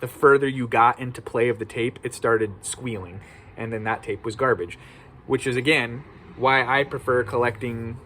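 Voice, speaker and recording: A man's voice: mid-range voice, steady tone, moderate pace, sharp tone. A man with an American accent talking casually as a video host, plain-spoken and opinionated. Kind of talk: casual informal video talk about collecting. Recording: clearly noisy background ambience.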